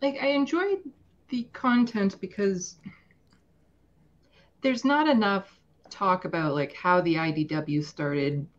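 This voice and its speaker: A woman's voice: medium pitch, slow pace, long pauses, dull audio. A woman speaking as a guest in a casual political podcast discussion, explaining her views conversationally.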